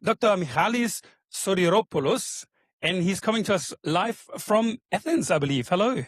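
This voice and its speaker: A man's voice: sits high, lively intonation, moderate pace, dry recording. A man hosting a podcast, talking in a chatty, rambling way with frequent ums. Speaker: podcast host. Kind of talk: casual podcast interview.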